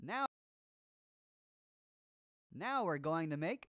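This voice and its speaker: A man's voice: a little high, very animated, extremely slow, sharp tone, no room sound, heavily acted. A man voicing a groovy, flamboyant disco cooking show host in an educational cartoon game, talking in theatrical jive over music. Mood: showy, upbeat.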